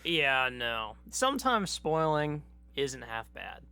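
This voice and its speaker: A man's voice: medium pitch, big pitch swings, slow, bright, forceful tone, smooth, no room sound. A man co-hosting a podcast, chatting casually and giving brief reactions as a listener. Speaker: podcast co-host.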